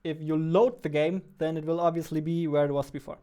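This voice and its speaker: A man's voice: a little high, fairly even intonation, brisk, warm tone, clean tone. A man in his twenties chatting casually and playfully on a livestream as he works, close on a studio mic. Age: 20s. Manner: playful, joking. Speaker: game developer streaming. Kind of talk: casual livestream chat while working. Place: indoors, small home room. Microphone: studio microphone on boom arm, headphones.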